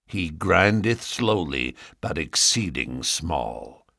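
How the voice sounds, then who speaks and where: A man's voice: rumbling low, big pitch swings, takes it slowly, gravelly, pushed and bright, no room sound, voice pushed down, heavily acted. A man plays a vain young suitor with a nasal voice and a smirking, self-satisfied manner. The sound is dry and close. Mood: smug.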